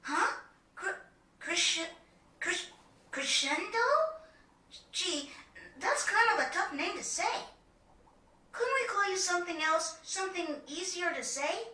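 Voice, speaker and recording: A woman's voice: very high voice, lively intonation, slow pace, pauses often, bright, forceful tone, reverberant, recorded from a distance, full character voice. A woman voicing an eager, chatty, cheeky young boy in a video game, with a chirpy, informal delivery. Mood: puzzled, cheeky. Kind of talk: video game cutscene acting.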